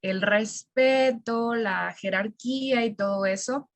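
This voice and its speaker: A woman with a Mexican accent, a language teacher explaining things reflectively in a conversational interview, pausing as she searches for words. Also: a little high, no echo.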